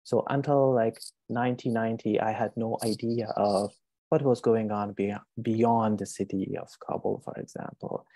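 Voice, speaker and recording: Mellow, low-voiced man. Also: steady tone, normal speed, dry recording, low-quality recording. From Afghanistan. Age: 30s. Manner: gentle, hesitant, many fillers. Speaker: author, guest speaker. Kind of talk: presenting over a video call. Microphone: video call.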